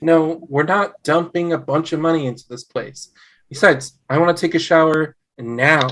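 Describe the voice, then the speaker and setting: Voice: medium pitch, fairly even intonation, normal speed, fairly bright tone, natural voice. Someone playing a skeptical, frustrated young husband in a conversational table read over a video call. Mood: stubborn, casual.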